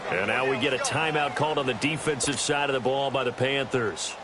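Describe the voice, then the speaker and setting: A man's voice: mid-range voice, brisk, over a noisy crowd, natural voice. An American man playing a TV play-by-play announcer, calling an American football game. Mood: brisk, engaged. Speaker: play-by-play sports commentator. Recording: loud stadium noise behind voice. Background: loud stadium game noise.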